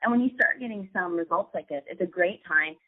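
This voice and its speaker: A woman's voice: lowish voice, a little fast. Lady cohost w a chest cold losing her voice, casual chat, tinny phone sound. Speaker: co-host, online business coach. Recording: thin, phone-like band-limited sound.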